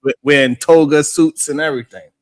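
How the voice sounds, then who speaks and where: A man's voice: on the high side, fairly even intonation, a little fast, no room sound. A man hosting a live stream in casual chat, telling loose slangy stories and laughing often.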